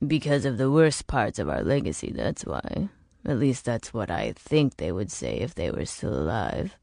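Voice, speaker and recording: A woman voicing a bitter, world-weary older man in an audiobook, explaining grim things in a measured, emphatic way. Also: rumbling low, big pitch swings, vocal fry, a little mellow, no echo, clean studio sound, voice pushed down, heavily acted. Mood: bitter, muttering. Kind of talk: audiobook character dialogue.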